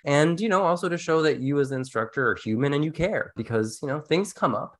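A man's voice: fairly high pitch, lively intonation, a little fast. A man talking conversationally as co-host of an educational podcast, explaining ideas casually with a wry touch. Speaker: podcast co-host, instructional designer.

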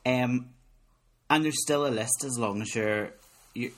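A man's voice: mid-range voice, unhurried. A man in his thirties with a Northern Irish accent, chatting casually as a podcast co-host with jokey, self-mocking banter. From Northern Ireland. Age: 30s. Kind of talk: casual chat.

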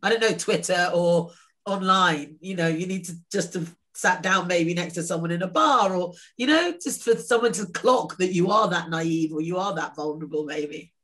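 A woman's voice: on the low side, normal speed, a bit bright. A woman co-hosting a casual video-call chat, thinking aloud in a reflective way. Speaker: co-host.

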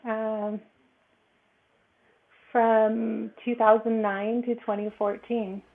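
A woman's voice: fairly high pitch, level tone, extremely slow, gravelly. A woman in her forties from Los Angeles, a podcast guest telling her story hesitantly with many fillers. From Los Angeles, California. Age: 40s. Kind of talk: personal story in a podcast chat. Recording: tinny phone-like line.

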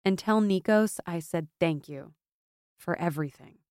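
A woman's voice: fairly low pitch, a little slow, darkish tone, dry recording, clean studio sound, lightly acted. Woman as a proud, guarded exiled warrior noblewoman. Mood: gentle, firm. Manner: firm, wry.

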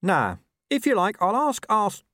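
Man voicing a cynical streetwise talking dog, pitched up. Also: wildly swooping pitch, a little slow, pushed and bright, dry recording, clean studio sound, exaggerated voice. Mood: cynical, knowing. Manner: colloquial, sly, world-weary asides.

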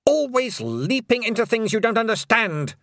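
A man's voice: very high voice, big pitch swings, normal speed, a bit bright, no room sound, clean studio sound, pitched above the performer's own voice, exaggerated voice. A man voicing a cruel, scheming fairy queen who speaks in a cold, menacing way. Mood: scornful.

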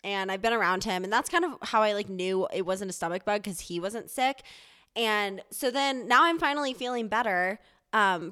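A woman's voice: mid-range voice, bright, forceful tone, clear, resonant tone. Chatty young woman podcast co-host. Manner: chatty, storytelling with lots of likes and ums. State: sick, congested. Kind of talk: casual chat.

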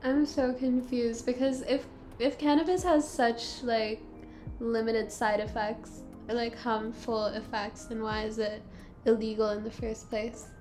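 A woman with a fairly high voice hosts a casual podcast. She is inquisitive and informal, often asking questions and reacting, with some music behind her. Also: flat delivery, a little slow, a little mellow. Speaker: podcast host.

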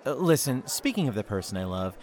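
A man's voice: medium pitch, big pitch swings, fairly quick, a little mellow, lightly acted. A man playing an awkward, skeptical young boyfriend in an audio drama, speaking in a hesitant, dry, blunt way. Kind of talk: naturalistic audio drama acting. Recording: noticeable background ambience noise.

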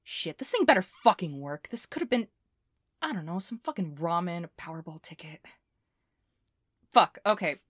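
A woman playing a scrappy, intense inventor recording a personal log in an audio drama, talking in a halting, volatile way. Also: on the low side, lively intonation, slightly rough, no room sound, studio quality. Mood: frustrated, wry. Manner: swearing, halting, swinging from muttering to fervent.

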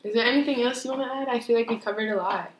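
A young woman co-hosting a casual podcast, talking thoughtfully and measured, often pausing to rephrase. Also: fairly high pitch. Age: young adult. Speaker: podcast co-host. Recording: echoey room with faint noise.

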